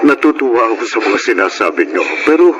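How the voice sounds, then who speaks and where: A man playing a patient, struggling husband and father who speaks gently and pleadingly in a Filipino radio drama. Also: mid-range voice, big pitch swings, fast talker, highs cut off, over music, close to an everyday voice. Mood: apologetic, relieved. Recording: noisy radio drama recording.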